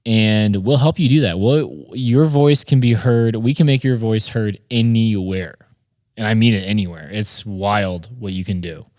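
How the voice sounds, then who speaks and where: A man's voice: fairly low pitch, measured pace, a little mellow, no room sound, clean studio sound. A man hosting a podcast, giving an informal, conversational motivational talk on business and addressing listeners directly. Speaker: podcast host and marketer.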